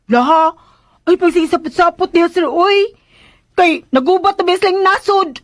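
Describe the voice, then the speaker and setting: A woman's voice: extremely high pitch, a little slow, no echo. A Filipino woman playing a flustered, eager-to-please young housemaid in a radio drama, plaintive and hesitant. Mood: concerned. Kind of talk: Philippine radio drama acting.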